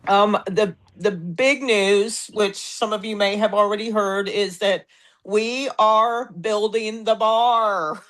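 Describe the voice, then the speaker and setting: A woman's voice: medium pitch, steady tone, takes it slowly. A woman with a slight head cold giving amenity updates at an online meeting from her phone. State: head cold, a little froggy. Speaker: amenity center manager. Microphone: phone on a video call.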